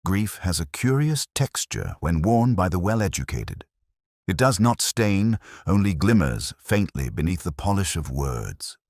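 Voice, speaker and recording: Very deep, brooding male narrator. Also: very animated, darkish tone, no room sound, clean studio sound. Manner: measured, literary, brooding. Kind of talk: scripted first-person detective story narration.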